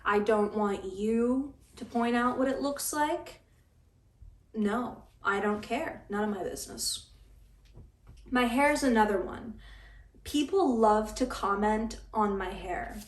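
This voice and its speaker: A young woman in her twenties talking in a casual vlog, dry and deadpan, griping with stammered restarts. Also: a little high, slow pace. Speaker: vlogger. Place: apartment room. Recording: echoey room, mic at a distance.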